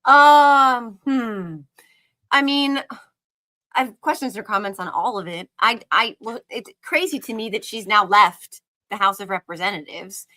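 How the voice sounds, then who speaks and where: A woman's voice: fairly high pitch, a little slow, bright, forceful tone. A woman co-hosting a live commentary stream, reading aloud and commenting in a conversational way. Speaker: co-host reading texts and commenting.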